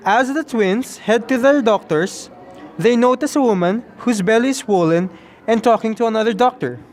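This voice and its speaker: A man's voice: very high-pitched, moderate pace, darkish tone, soft background music. A man reading scripted, storybook-like narration as the narrator of an amateur show. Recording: noisy amateur room recording.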